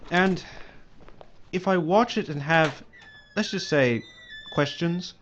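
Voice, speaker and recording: Audio drama villain, male actor: crazy businessman, breezy false friendliness, animated pitch, slightly noisy recording. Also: lo-fi sound, natural voice. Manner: breezy, folksy, over-friendly.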